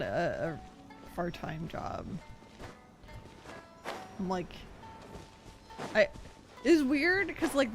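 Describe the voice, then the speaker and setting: A young woman chatting casually and informally as she streams a video game, rambling and giggly. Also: medium pitch. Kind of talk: casual livestream chat and game reactions.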